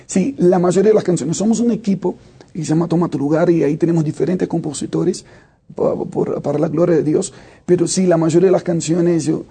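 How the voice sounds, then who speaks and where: A man's voice: fairly high pitch, steady tone, fairly quick, vocal fry, dark tone, husky. A man, a worship leader answering interview questions reflectively and with frequent hesitations. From Brazil. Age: 30s.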